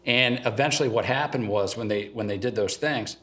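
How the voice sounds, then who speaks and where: A middle-aged man with a light Ohio drawl that leans a little Southern. He sits at a medium pitch and speaks as an interview guest, plain-spoken and earnest in a conversational way. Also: a little fast, fairly bright tone. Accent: slight Southern-tinged eastern Ohio. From eastern Ohio, USA. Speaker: guest, father of three.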